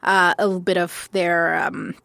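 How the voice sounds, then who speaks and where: A woman's voice: fairly low pitch, level tone, moderate pace, vocal fry, fairly bright tone, dry recording. A woman born in India who grew up in France, telling stories casually as an interview guest. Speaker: guest, style consultant.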